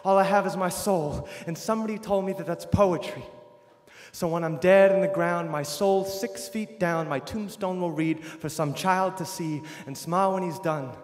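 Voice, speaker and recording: Young guy doing rhyming spoken word poetry, loud then soft, big reverb. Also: sits high, moderate pace. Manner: rhythmic rhyming delivery, shifting loudness. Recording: echoey hall.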